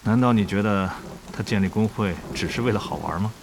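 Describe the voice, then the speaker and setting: A man playing a measured, authoritative and calculating online guild leader in an audio drama. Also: on the low side, creaky, background noise. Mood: commanding. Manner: measured, decisive. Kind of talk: Chinese audio drama dialogue.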